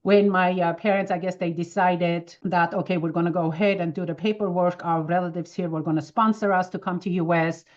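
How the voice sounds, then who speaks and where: Woman in her 50s, Armenian accent, lowish voice, lively storyteller, dull lo-fi audio. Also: brisk, hardly any pauses. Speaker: wellness expert guest. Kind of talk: casual interview answers.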